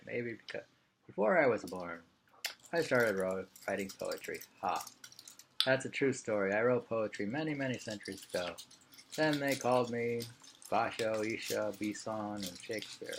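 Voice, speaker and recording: A man's voice: on the low side, lively intonation, moderate pace, gravelly, background noise. A man reading an interview aloud in an informal way. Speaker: reader of a printed interview.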